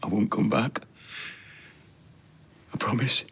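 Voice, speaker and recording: An actor playing a broken, remorseful vicar who pleads desperately. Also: male voice, takes it slowly, a bit bright. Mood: pleading. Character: broken, remorseful male vicar addicted to drugs.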